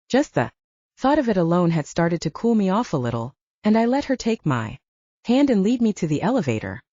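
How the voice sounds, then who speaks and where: A woman's voice: on the low side, mellow, dry recording, lo-fi sound. A woman reading a first-person audiobook story as its narrator. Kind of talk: first-person fiction narration with acted dialogue.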